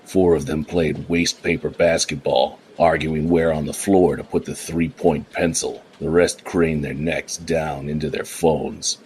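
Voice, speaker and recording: A man narrating a noir radio play in a measured, even storytelling way. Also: a bass voice, slight background noise. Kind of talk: scripted narration.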